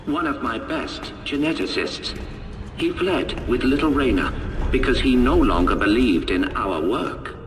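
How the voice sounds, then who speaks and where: An actor playing an older, polite but sinister scientist speaking through a machine in a video game, with condescending, formal phrasing. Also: male voice, mid-range voice, animated, a little slow, distant mic, lightly acted. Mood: smooth condescension. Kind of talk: video game cutscene acting.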